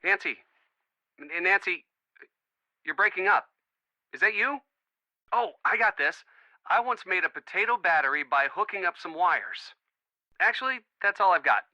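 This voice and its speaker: An actor playing an earnest, wisecracking young American boyfriend, chatty and eager, in an adventure game. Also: male voice, high voice, animated, moderate pace, pauses often, pushed and bright, no room sound, full character voice, low-quality recording. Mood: puzzled, helpful. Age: young adult. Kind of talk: scripted adventure game dialogue.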